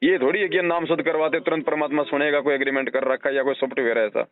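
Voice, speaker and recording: A man's voice: a little high, steady tone, racing. A man giving earnest, explanatory religious advice to a devotee, using everyday analogies. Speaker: religious counsellor advising a devotee. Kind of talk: devotional advice on a phone call. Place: phone call. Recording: narrow, tinny phone-line recording.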